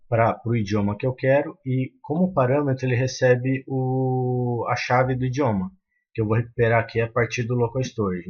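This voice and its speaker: A man explaining code step by step in a screen-recorded tutorial, with many fillers and restarts. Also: mid-range voice. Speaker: programming tutor. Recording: muffled lo-fi sound.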